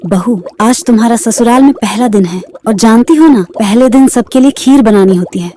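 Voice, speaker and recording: Naggy indian saas in a cartoon done by a woman, kinda high but mellow, complains and orders around. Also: normal speed, slight background noise, lightly acted. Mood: bossy. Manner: scolding, complaining, commanding. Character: nagging middle-aged Indian mother-in-law, quick to scold. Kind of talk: Hindi moral-story cartoon acting.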